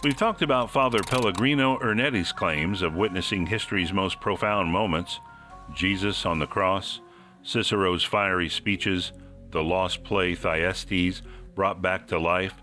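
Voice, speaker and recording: A man's voice: deep voice, measured pace, sharp tone, faint music behind. A man hosting a podcast, reading scripted mystery narration in a suspenseful, theatrical manner. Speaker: podcast host.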